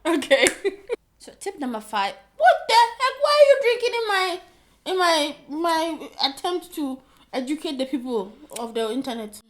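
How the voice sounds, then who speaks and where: Woman from Ghana, co-host, bright and bubbly, laughs a lot, fairly high voice. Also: normal speed. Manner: animated, laughs often, teasing. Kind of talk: casual advice chat.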